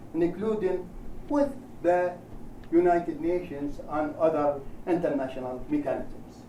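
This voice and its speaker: A man with a high, mellow voice speaks in Iraqi-accented, non-native English. He delivers a formal prepared speech in deliberate short chunks. The sound is roomy and echoing, picked up at some distance from the mic. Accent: Iraqi Arabic. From Iraq. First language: Arabic. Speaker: human rights organisation director and panel speaker. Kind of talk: formal speech read from notes. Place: indoor event room at a panel discussion. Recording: roomy echo, distant mic, faint noise.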